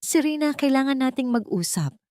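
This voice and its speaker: A woman's voice: high voice, animated, a little fast, darkish tone, no echo, studio quality, voice pushed up. A woman with a Filipino accent voicing an earnest, insistent wealthy businessman in a narrated love story. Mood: urgent, regretful. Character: wealthy young male CEO, commanding, troubled son.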